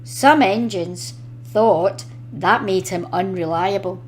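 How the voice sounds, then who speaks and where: A woman reading a children's storybook aloud in an expressive, deliberate storytelling manner. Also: mid-range voice, a little hiss or hum. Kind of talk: expressive scripted storybook narration.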